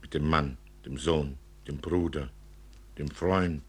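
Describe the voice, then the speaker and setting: A man giving an expressive reading of literary prose, as an author reading from his own novel. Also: very deep voice, takes it slowly, slightly rough.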